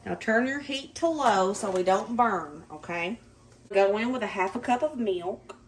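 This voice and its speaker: Hoarse Southern mom talking through a recipe. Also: female voice, mid-range voice, a little slow, a little hiss or hum. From Southern United States. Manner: chatty and relaxed, gives step-by-step directions. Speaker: home cooking vlogger. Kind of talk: casual recipe walkthrough. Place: home kitchen.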